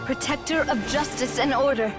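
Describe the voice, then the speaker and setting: A woman voicing an earnest, heroic young woman with dramatic delivery in an anime-style game, under loud music. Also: high voice, fairly even intonation, moderate pace, natural voice. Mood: reverent, pleading.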